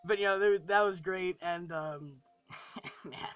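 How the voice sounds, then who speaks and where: A man's voice: sits high, slow pace, a bit bright, clear, resonant tone, muffled recording. A young man giving a casual, enthusiastic video review, gushing and rambling and repeating phrases for emphasis. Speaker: film review vlogger.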